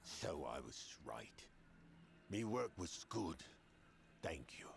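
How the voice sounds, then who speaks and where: A video game blacksmith, earnest, remorseful and grateful. His voice sits extremely low with a gravelly edge, and he takes his lines slowly. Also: big pitch swings, slight background noise, exaggerated voice. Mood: earnest, moved. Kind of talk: scripted video game dialogue.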